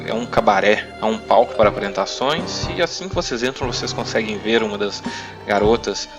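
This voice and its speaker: Game master: Brazilian man, lowish voice, conversational improvised narration, music clearly underneath. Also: brisk. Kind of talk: improvised narration of a tabletop role-playing game.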